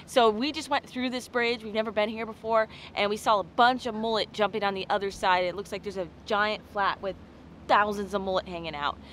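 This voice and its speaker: A woman's voice: medium pitch, fairly even intonation, fairly quick, fairly bright tone, a little hiss or hum. A young woman vlogging from a small boat outdoors, chatting to viewers as she explains. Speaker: fishing vlogger. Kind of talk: casual fishing vlog.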